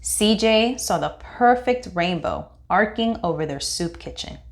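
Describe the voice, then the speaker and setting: A woman reading a children's picture book aloud as expressive narration. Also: mid-range voice, unhurried. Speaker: children's read-aloud host.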